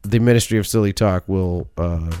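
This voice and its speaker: Smooth-voiced joking podcast host man. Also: sits low, moderate pace, a little mellow, faint music behind. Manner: rambling and jokey, with self-corrections. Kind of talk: casual sports podcast monologue.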